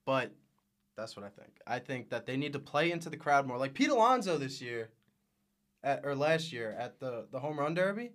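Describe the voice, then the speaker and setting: A man's voice: medium pitch, sing-song, moderate pace, fairly bright tone. A young man giving emphatic, opinionated takes as a guest on a casual sports podcast.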